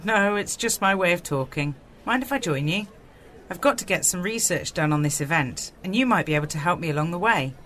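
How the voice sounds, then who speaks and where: A woman playing a brisk, cool researcher from the far future in a sci-fi audio drama, clipped and matter-of-fact. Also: fairly low pitch, animated, a bit bright, a little hiss or hum. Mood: polite, curious.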